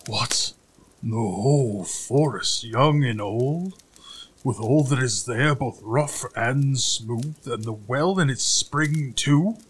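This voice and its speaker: A man voicing a huge, gruff, dim-witted giant in a fairy tale, with slow, ponderous, archaic speech. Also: medium pitch. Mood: alarmed, gruff.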